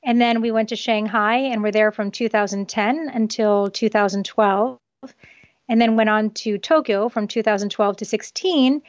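A woman's voice: mid-range voice, moderate pace, no room sound. East Coast woman on a dull, dry remote line. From United States, East Coast. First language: Japanese. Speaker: guest author. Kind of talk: casual interview.

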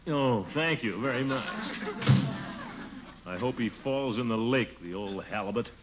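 An actor playing a pompous, blustering middle-aged city commissioner and uncle, with an American accent, in 1940s radio comedy style. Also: male voice, medium pitch, animated, a little slow, loud music behind the voice, lightly acted. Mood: grumbling, put-upon. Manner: blustering, swooping, theatrical exclamations. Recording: muffled, noisy 1940s radio recording.

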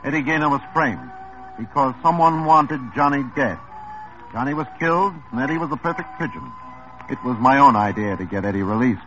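An actor playing a wary, evasive mob lawyer in a 1950s radio drama, speaking in a slow drawl. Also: male voice, mid-range voice, expressive, fairly bright tone, natural voice. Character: smooth, nervous male mob lawyer. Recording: dull old radio broadcast with music.

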